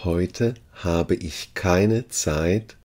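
A man working as a language teacher, reading short phrases deliberately and repeating each one for learners. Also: low-pitched, a little mellow.

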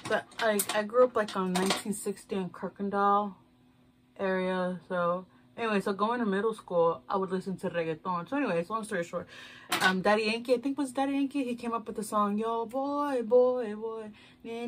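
A young woman from Houston, chatting in a loose, rambling way while doing her makeup in a small bedroom, talking to a camera at desk distance. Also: mid-range voice. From Houston, Texas. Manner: rambling, loose, swears casually, self-mocking. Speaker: beauty vlogger. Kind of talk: casual chatty get-ready-with-me. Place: small decorated bedroom, indoors.

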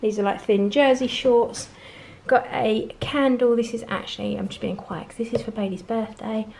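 A woman's voice: a little high, fairly even intonation, moderate pace, gravelly, darkish tone, a little hiss or hum, poor audio. Chatty woman vlogger. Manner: chatty, laughs often. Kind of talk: casual haul vlog. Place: home conservatory, indoors.

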